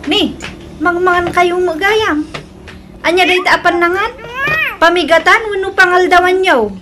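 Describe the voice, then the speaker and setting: A woman's voice: very high-pitched, unhurried, background noise. A woman playing a dutiful, concerned adult daughter with a Filipino accent in a radio drama.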